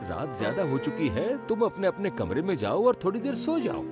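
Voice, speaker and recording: Loud music plays under a fatherly male station chief. This cartoon boss is concerned and authoritative, reassuring the young trains with huge swings of intonation. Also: on the high side, huge pitch swings, a little fast. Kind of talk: Hindi cartoon dub acting.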